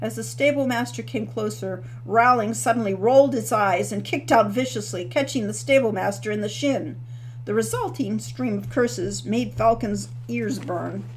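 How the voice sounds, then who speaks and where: A woman author reading her novel aloud as the narrator, in a steady storytelling manner. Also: on the high side, a little hiss or hum, rough recording. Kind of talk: scripted audiobook narration.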